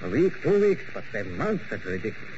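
A blustering scheming ballet manager. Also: male voice, fairly low pitch, sing-song, fairly quick, darkish tone, slight background noise. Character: blustering middle-aged male ballet manager. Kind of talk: 1940s radio acting. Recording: muffled old radio broadcast with music.

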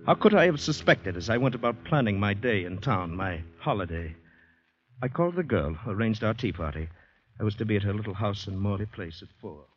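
Haunted ex-soldier played by a man, low-pitched, hesitant and brooding; vintage radio thriller with muffled recording. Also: animated, fairly quick, natural voice. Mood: wry, polite. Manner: brooding, hesitant, talking to himself. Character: anxious ex-soldier fighting down panic, recounting his ordeal. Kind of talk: 1940s radio drama narration and dialogue. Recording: dull old radio recording with music.

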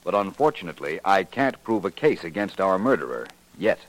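Low-voiced, courteous private detective. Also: male voice, big pitch swings. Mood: confident. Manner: polite, measured, assured. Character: courteous, confident male private investigator. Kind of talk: 1940s radio drama acting. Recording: faintly noisy old radio recording.

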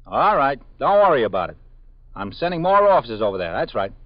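An actor playing a police desk officer taking a call in a 1950s radio drama, brisk and reassuring. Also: male voice, medium pitch, big pitch swings, no room sound, close to an everyday voice. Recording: dry, dull old radio broadcast.

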